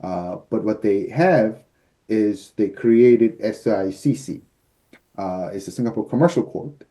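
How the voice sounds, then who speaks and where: A man's voice: on the low side, lively intonation, measured pace, mellow. A man in his fifties from the United States, a guest arbitrator explaining his field over a video call from an office, with frequent fillers. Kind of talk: explanatory interview answers. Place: office with shelves, indoors. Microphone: video call webcam.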